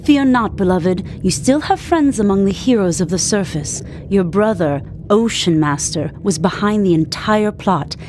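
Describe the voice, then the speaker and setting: A woman's voice: mid-range voice, expressive, unhurried, few pauses, a little mellow, slight background noise, lightly acted. A woman playing a regal, steadfast warrior queen in a video game, formal and commanding. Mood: reassuring. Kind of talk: dramatic video game acting.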